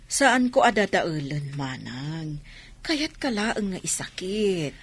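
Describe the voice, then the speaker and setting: A woman's voice: on the low side, sing-song, takes it slowly, slightly rough, full character voice. A woman playing a hurt, loyal woman in an Ilocano radio drama.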